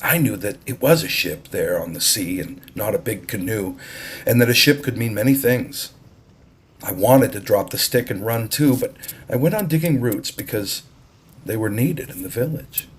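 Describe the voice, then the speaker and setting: A man's voice: lowish voice, expressive, moderate pace, a little hiss or hum. A man reading a story aloud as an audiobook-style narrator. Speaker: audiobook reader.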